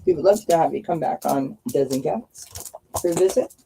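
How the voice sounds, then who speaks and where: A woman's voice: low-pitched, moderate pace, vocal fry, warm tone. Scratchy-voiced woman livestreaming over background noise. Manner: friendly, addresses viewers as friends. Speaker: livestream host reading oracle cards. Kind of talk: casual live chat with viewers. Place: outdoors by a firepit.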